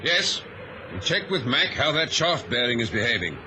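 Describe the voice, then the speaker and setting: A man's voice: mid-range voice, sing-song, moderate pace, natural voice. A man playing a concerned, commanding ship's captain in an old radio drama, giving clipped orders with authority. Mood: puzzled. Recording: noisy vintage radio recording.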